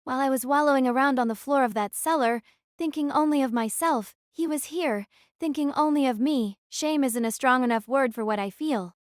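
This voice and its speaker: An actress plays a young woman who survived a deadly arena, telling her story in a high voice. She is introspective, troubled and defiant, hard on herself. The audio drama recording is close and dry. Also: a little fast, dry recording, close to an everyday voice. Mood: guilty, shaken. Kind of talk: first-person audio drama narration.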